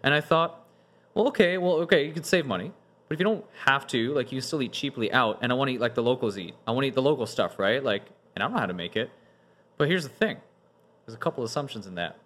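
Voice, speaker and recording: A man in casual conversation with a lively, swooping intonation, spinning anecdotes and analogies. His pitch sits around the middle. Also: fast. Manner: animated storyteller, uses analogies. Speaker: guest.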